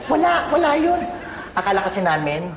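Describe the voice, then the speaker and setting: A bubbly, gossipy party-lover, played by a woman with a Filipino accent. Her lively pitch swoops around in an echoey hall, with crowd noise behind. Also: fairly quick, lightly acted. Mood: playful. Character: playful, party-loving woman.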